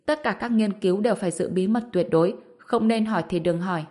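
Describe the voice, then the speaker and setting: A woman's voice: mid-range voice, fast talker, warm tone, natural voice. Woman acting officious male guard on duty. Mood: stern, haughty. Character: stern, self-important male guard on duty.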